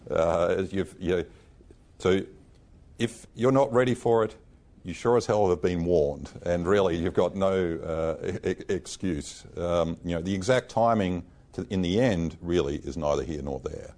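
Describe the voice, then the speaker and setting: Really deep aussie bloke, frank panel talk, dark mellow voice. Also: male voice, unhurried. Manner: frank, emphatic, casual phrasing. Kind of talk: panel discussion answer.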